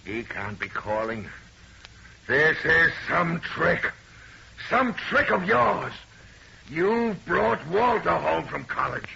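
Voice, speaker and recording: An actor playing a murderous husband cracking with fear, theatrical, in an old radio horror play. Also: male voice, on the high side, sing-song, takes it slowly, vocal fry, heavily acted. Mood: enraged, frantic. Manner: theatrical, swinging from muttered dread to shouting. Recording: noisy, dull old radio recording.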